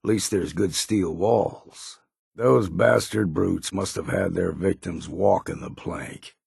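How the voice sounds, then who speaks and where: An actor playing a gruff, stubborn old hunter from a harbor town in a video game, with a rasping voice and a folksy, blunt way of talking. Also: male voice, deep voice, steady tone, takes it slowly, darkish tone, no room sound, clean studio sound, full character voice. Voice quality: gravelly, rasping. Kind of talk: video game character acting.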